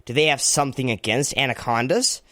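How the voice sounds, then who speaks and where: An actor playing an eager, flattering campaign staffer in a comedy radio play. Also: male voice, mid-range voice, fairly quick, no room sound, studio quality, close to an everyday voice. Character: eager, flattering male campaign staffer, loyal yes-man. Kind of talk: comedy radio theater acting.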